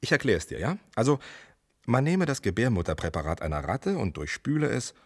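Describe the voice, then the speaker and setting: A man's voice: mid-range voice, very animated, a little fast, slightly rough. An actor playing an ambitious, self-assured male medical researcher in a German radio play, speaking in a wry, enthusiastic conversational way. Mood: elated, teasing.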